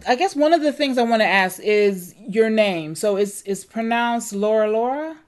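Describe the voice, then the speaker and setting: A woman hosting a podcast, introducing the show and then interviewing a guest in a relaxed way, with frequent ums and restarts. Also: a little high, normal speed. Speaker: podcast host.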